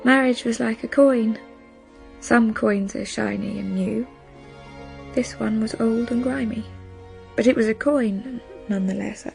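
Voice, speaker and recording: A woman playing a wistful young bride who recalls her past in a measured, reflective radio drama monologue. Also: fairly high pitch, fairly even intonation, vocal fry, a little mellow, faint music behind.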